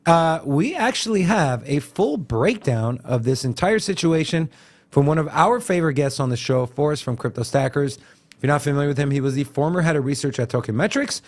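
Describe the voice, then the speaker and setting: A man's voice: on the high side, fairly quick. A man hosting a crypto news show, walking through charts in a casual way and asking rhetorical questions. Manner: lively, asks rhetorical questions, frequent "uh" fillers.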